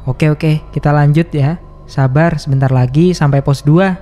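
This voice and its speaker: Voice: mid-range voice, steady tone, moderate pace, warm tone, faint music behind, lightly acted. Seorang pengisi suara memerankan pemimpin rombongan pendaki yang memberi arahan dan menenangkan teman-temannya. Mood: calm. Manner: reassuring, instructive. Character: calm hiking group leader. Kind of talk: animated horror story voice acting.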